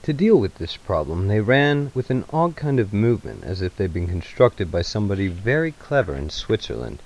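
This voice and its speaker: Low-pitched man narrating with animated swings. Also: normal speed, rough recording. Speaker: narrator, reader. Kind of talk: dramatic literary narration.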